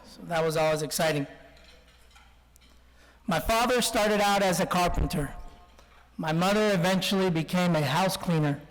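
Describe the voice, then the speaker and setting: Guy in his 40s doing a jokey informal speech, pretty high voice, big echoey hall. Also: normal speed, pauses often. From Colombia, then Texas from childhood. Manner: joking, anecdotal storytelling. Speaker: guest speaker. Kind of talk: informal speech with self-deprecating humour. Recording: reverberant hall, speech over PA.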